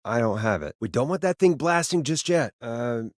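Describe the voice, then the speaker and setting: A man's voice: medium pitch, lively intonation, moderate pace, dry recording, studio quality, lightly acted. An actor playing a wry radio DJ in a video game, a man who talks in a conversational way. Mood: wry, pensive. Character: Forrest Nash, wry late-night radio DJ, a grown man. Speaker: radio host.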